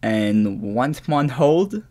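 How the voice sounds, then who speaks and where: A man's voice: medium pitch, sing-song, drawn-out words, darkish tone. Early twenties quebec guy, french accent, just talking into his camera in his bedroom, loose and swearing a lot. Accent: Québécois French. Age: 20s. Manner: rambling, casual, swears often, frequent restarts. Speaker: podcast host. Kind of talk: unscripted solo podcast monologue. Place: his bedroom in a shared apartment.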